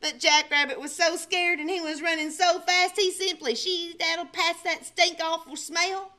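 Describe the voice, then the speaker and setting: A woman narrating a children's storybook theatrically, with strong emphasis and hushed dramatic drops. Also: extremely high pitch, steady tone, moderate pace. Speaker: librarian storyteller.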